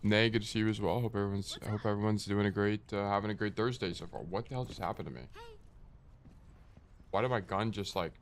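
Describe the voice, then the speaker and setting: Young male streamer, low and stuffy-nosed, rambling and joking to chat over faint game gunshots. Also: a little fast, a little hiss or hum. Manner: rambling, joking, reads chat aloud and answers. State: stuffy nasal morning voice. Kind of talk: casual live-stream chat.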